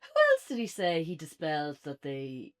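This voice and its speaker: An Irish woman co-hosts a casual review chat. Her intonation is lively and moves widely up and down. She speaks off the cuff, in the loose style of podcast banter. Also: deep voice, normal speed. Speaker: podcast co-host reviewing a play. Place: indoors on a couch, podcast setup.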